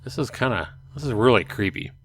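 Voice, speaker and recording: A man's voice: medium pitch, expressive, brisk, a bit bright, a little hiss or hum. A man hosting a let's play, reading a visual novel aloud and adding informal reactions in a casual way.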